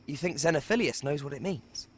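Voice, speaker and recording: An actor playing an earnest, determined young British wizard, speaking urgently in a dramatic game scene. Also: male voice, on the high side, animated, fairly quick, slightly rough. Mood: polite, inquiring. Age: young adult. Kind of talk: dramatic film-style game acting.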